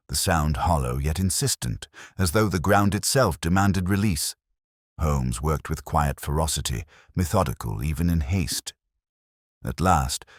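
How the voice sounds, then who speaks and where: Male narrator for mystery fiction: extremely low, husky voice, expressive swings in pitch, dry close sound. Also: no room sound. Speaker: audiobook-style narrator. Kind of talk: scripted first-person mystery story narration.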